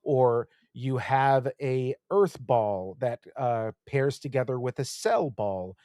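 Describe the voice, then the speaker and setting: A man and podcast co-host chatting casually about video games, explaining things and asking relaxed questions. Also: medium pitch, slow, no echo, studio quality.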